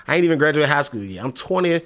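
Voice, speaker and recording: A man's voice: on the high side, expressive, very fast, fairly bright tone. A man in his twenties talking casually in a gaming vlog, laid-back and slangy, often pleading and repeating himself. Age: 20s. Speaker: gaming YouTuber.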